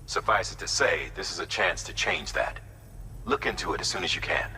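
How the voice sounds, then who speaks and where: An actor playing a businesslike male operator giving orders in a video game, brisk and matter-of-fact. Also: deep voice, noisy background, close to an everyday voice. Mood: instructing. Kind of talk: video game cutscene acting.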